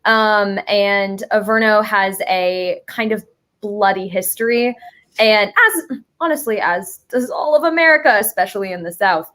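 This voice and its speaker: A woman's voice: mid-range voice, unhurried, a bit bright. A young adult woman chatting casually as an interview guest, explaining her creative work in a giggly, slangy, playful way.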